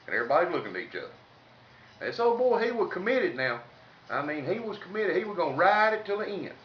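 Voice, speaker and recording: A man's voice: high voice, sing-song, fast talker. A man with a Southern US accent telling humorous stories in a folksy, salty, colloquial way. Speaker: comedic storyteller. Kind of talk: informal humorous storytelling. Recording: roomy, distant mic, faint noise, dull sound.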